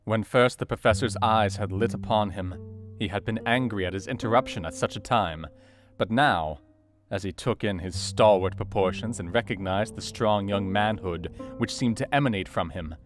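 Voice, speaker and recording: Audio drama casting: young doctor narrator played by a man, lowish voice, grave and observant, measured delivery over light guitar music. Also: natural voice. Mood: intent. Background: soft guitar music.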